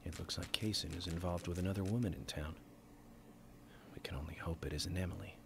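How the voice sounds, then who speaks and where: A man voicing a calm, eccentric FBI agent in a video game cutscene, speaking in a measured, formal, unhurried way. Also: low-pitched, gravelly, noisy background. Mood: calm, considered. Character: calm, eccentric adult male FBI agent investigating murders. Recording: loud music over game dialogue. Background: music.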